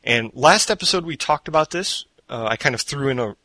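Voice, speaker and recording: A man hosts a laid-back gaming podcast, his voice bright and forward. He rambles with plenty of fillers and restarts, self-deprecating as he goes. The headset mic sits off to the side in a dry home room. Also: on the high side, expressive, fairly quick, no echo. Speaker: podcast host. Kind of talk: casual gaming podcast chat. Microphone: borrowed headset mic off to the side.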